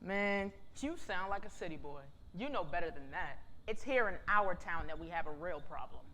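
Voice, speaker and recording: A woman playing a sharp-tongued, sardonic young small-town woman in a staged play reading. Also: fairly low pitch, expressive, normal speed.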